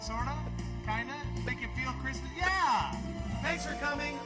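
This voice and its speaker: A man's voice: extremely high pitch, expressive, unhurried. A man hosting a live curtain call, enthusiastically calling out to an audience and thanking them. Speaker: host introducing the cast. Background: loud music.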